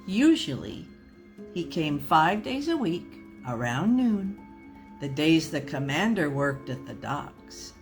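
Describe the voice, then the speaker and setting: A woman storyteller with a slightly low voice takes her time over a comic tale. Her delivery is deliberate and mock-serious, pausing for drama, with music playing faintly behind her. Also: very animated.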